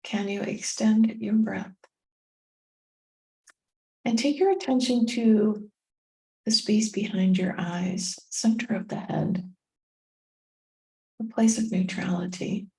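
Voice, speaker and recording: Woman, meditation guide, slow delivery, warm relaxed tone, gentle and soothing, short phrases with long pauses. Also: medium pitch, vocal fry, poor audio. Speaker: meditation guide and tapping coach.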